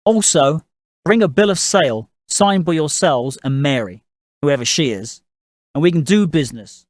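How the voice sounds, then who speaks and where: A man's voice: fairly high pitch, expressive, normal speed, dry recording, lightly acted. A man voicing an assertive, polite family patriarch in audiobook dialogue, commanding and businesslike. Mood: confident, businesslike. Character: assertive gentlemanly father, a shrewd, moneyed family patriarch.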